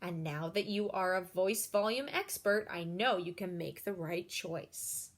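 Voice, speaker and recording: A woman reading a children's picture book aloud like a teacher, expressive and encouraging, putting questions to her young listeners. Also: lowish voice, unhurried. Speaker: read-aloud storyteller and teacher.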